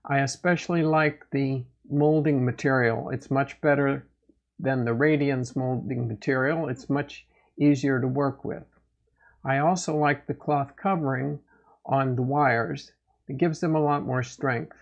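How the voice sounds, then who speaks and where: Male gear reviewer, unhurried with vocal fry, plain and measured narration, somewhat muffled lo-fi sound. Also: mid-range voice, steady tone. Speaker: host of a motorcycle gear review channel. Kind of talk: product update narration.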